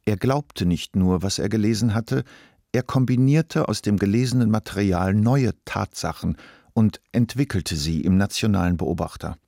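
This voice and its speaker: A man's voice: on the low side, normal speed, creaky, mellow, dry recording, studio quality. A man reading scripted literary narration as the narrator of a radio play.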